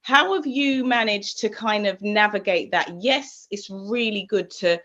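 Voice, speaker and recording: A woman on a panel asking a considered question, talking thoughtfully and softening her points with "kind of". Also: medium pitch, measured pace, muffled recording. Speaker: panel participant. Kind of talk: panel discussion.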